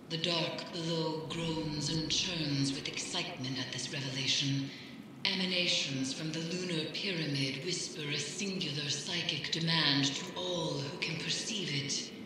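A woman playing a grave, cryptic occult mystic in a video game, speaking solemnly and deliberately. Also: low-pitched, heavy reverb, big-room sound, noisy background.

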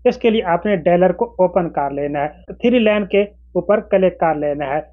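Male tutorial presenter, forties, high voice, instructional step-by-step delivery, slightly dull lo-fi recording. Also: lively intonation, moderate pace. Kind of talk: explanatory phone tutorial to camera. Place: small indoor room with desk.

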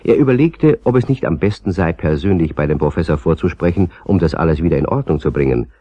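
A man's voice: low voice, expressive, fast. A man narrating a scripted children's story as a storyteller. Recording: slightly dull, roomy old cassette recording.

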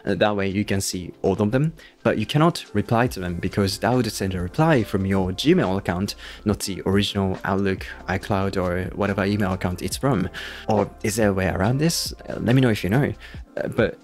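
A man's voice: on the low side, brisk. A man in his thirties with a Japanese accent, presenting a scripted review to camera into a close microphone. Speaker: YouTube tech reviewer. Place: small room indoors. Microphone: close podcast-style microphone on a boom. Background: background music.

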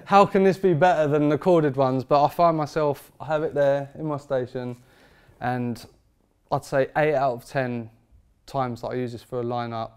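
A man, talking shop as a barber seated in his barber shop. His voice is smooth and resonant, and his speech is emphatic and informal. It rings with eager conviction. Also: mid-range voice, steady tone, normal speed, a little mellow. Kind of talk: product review chat.

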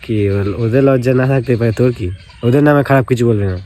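A man's voice: medium pitch, fast, very noisy. A young man in casual, provocative phone banter, needling and dismissive, with asides to viewers. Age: young adult. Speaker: prank video creator. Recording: music behind the voice. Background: music.

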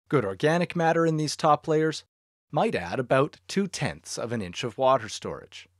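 A man narrates a lesson on soil and irrigation in a steady, explanatory way. His voice sits at a middle pitch. The recording is dry and close, with no room sound. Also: no echo. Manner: clear, steady explanatory delivery. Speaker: narrator of an instructional farm video. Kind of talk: scripted educational narration.

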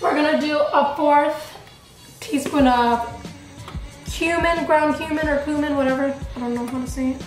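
A woman's voice: high-pitched, steady tone, moderate pace. A woman in her twenties chatting casually and playfully through a home cooking vlog in her kitchen. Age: 20s. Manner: playful, bubbly, with sound words. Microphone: camera nearby, at arm's length or on counter. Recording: echoey kitchen, music behind.

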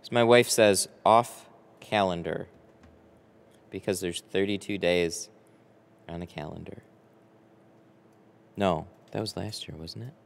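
An American man in his early thirties chatting casually on a livestream, halting and easygoing as he thinks aloud. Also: low-pitched, vocal fry. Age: early 30s. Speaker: livestream host, window tinter. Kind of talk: casual livestream chat, answering viewers. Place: large garage workshop with a boat. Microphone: close microphone on boom arm. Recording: long hall-like reverb.

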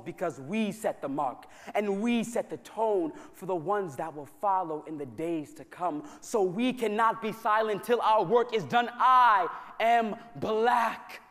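High-voiced man reciting in an echoing church. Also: fairly bright tone. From United States. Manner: rhythmic, rhyming, with emphatic slow repetitions. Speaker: spoken word poet. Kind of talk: spoken word poetry performance. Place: church sanctuary during a live concert. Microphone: stage microphone. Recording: long hall reverb from a large church.

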